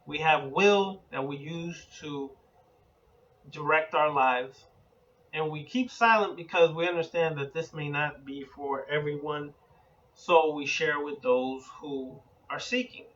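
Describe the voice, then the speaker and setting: A man's voice: a little high, fairly bright tone, dull audio. Man lecturing from a distant mic. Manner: measured, deliberate, builds in spoken lists. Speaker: occult teacher. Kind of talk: spoken lecture.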